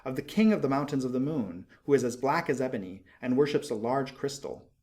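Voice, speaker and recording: A male audiobook narrator with a mid-pitched voice reads a literary tale. His delivery is expressive yet measured, with each phrase set out deliberately.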